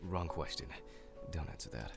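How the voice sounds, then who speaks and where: A man voicing a calm, cool young love interest in a visual novel, speaking softly and casually. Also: normal speed, gravelly, exaggerated voice. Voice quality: very deep, soft voice. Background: game music.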